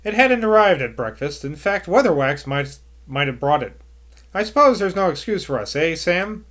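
A gentle old clergyman speaks mildly and softly, with dry humor, as a man reads him. The voice stays close to the reader's own, slightly high, in a storybook telling. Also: lively intonation, normal speed. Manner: mild, soft-spoken.

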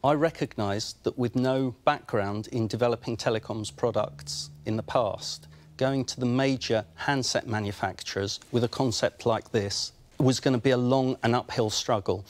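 An English-accented man in his forties, measured and factual, answering investors' questions as an entrepreneur. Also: medium pitch. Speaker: entrepreneur pitching. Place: large brick-walled studio, indoors.

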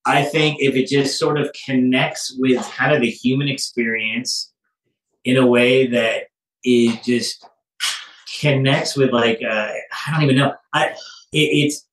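A man's voice: mid-range voice, fairly even intonation, a little slow, a bit bright. 40s guy answering casually off the cuff, echoey office, bit far from the phone. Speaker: studio manager being interviewed. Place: small office, indoors. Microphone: phone across the room.

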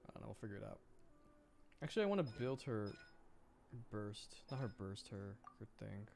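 Animated man streaming a video game. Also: on the low side, normal speed, vocal fry. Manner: animated, excitable, thinks aloud. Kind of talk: casual livestream gameplay commentary.

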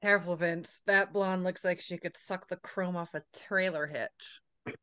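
Woman reading brash bawdy woman, bright clear voice. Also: on the low side, steady tone, measured pace. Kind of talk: screenplay table reading. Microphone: video call.

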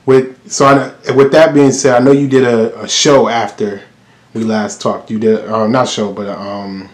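A man hosting a casual interview, relaxed and often repeating words as he shapes his questions. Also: on the low side, a little slow, reverberant. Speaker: interview host.

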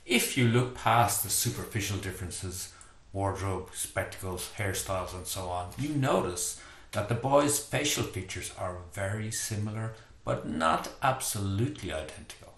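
A man's voice: on the low side, normal speed, fairly bright tone. An older Irish man, an author, reading a passage from his book aloud in a playful, self-deprecating storytelling manner at home. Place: home study with bookshelves, indoors. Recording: echoey room.

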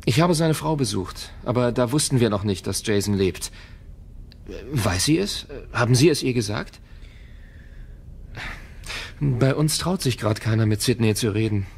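Gravelly, wary tech founder. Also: male voice, medium pitch, animated, measured pace, long pauses. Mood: guarded. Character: wary, world-weary male tech company founder. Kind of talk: German audio drama dialogue.

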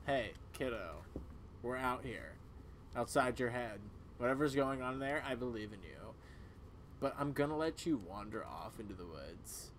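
A man voicing a protective, gently exasperated animal friend in casual video game dialogue. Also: mid-range voice, animated, a little slow. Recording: clearly audible background noise.

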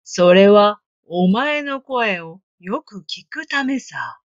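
A woman voicing a sly, hungry male wolf in a storybook reading, speaking slowly with gloating menace. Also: medium pitch, lively intonation, dry recording, muffled recording. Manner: slow, gloating, drawn-out menace. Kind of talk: storybook read-aloud.

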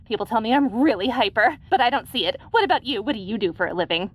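Woman as excitable alien racer girl, chatty, rambling, lively swooping pitch, audio drama. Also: breathy edge. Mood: bubbly, chatty. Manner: rambling, excitable, rapid topic jumps. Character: hyper, chatty, bubbly young female alien racer. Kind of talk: audio roleplay acting.

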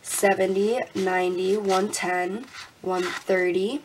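A young woman vlogging casually at her desk. She sounds congested and a little raspy, laughs often and counts money out loud. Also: medium pitch, fairly even intonation, slow pace, slight background noise, rough recording. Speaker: budgeting vlogger. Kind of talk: casual talk while counting cash. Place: indoors at a desk.

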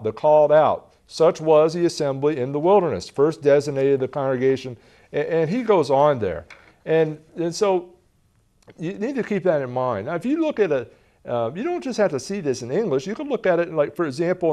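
Man in his forties, fairly high voice, earnest Bible teacher, studio lectern, lapel mic. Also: darkish tone. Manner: earnest teaching, gestures with both hands, reads passages aloud. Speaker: preacher teaching a Bible lesson. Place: television studio, standing at a lectern.

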